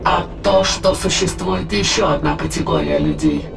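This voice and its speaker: An ancient, sinister demonic being holds forth in an audio drama. The voice is extremely gravelly and creaky, with solemn, archaic, declamatory delivery. Music plays clearly behind it. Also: medium pitch, wildly swooping pitch, normal speed, heavily acted, low-quality recording. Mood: solemn, menacing. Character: sinister ancient demonic spirit, solemn, gloating, coldly patient. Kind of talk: dramatized audio play, formal archaic monologue. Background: dramatic background music.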